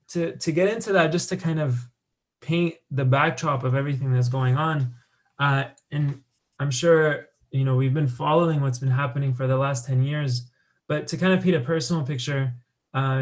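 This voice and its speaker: A young American man giving a conference talk about his work, speaking loosely with many fillers and restarts. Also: mid-range voice. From United States. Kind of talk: conference presentation with slides.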